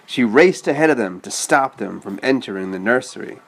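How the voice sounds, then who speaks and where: A man's voice: mid-range voice, lively intonation, brisk, creaky. A man reading a children's story aloud and chatting patiently with a child, explaining as he goes. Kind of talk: read-aloud storytelling with casual asides to a child.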